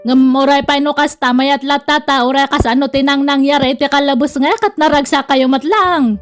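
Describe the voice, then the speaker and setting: High-voiced Ilocano woman narrating over soft music. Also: steady tone, a little fast. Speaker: drama host reading listener's letter. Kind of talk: radio drama narration. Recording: faint music behind.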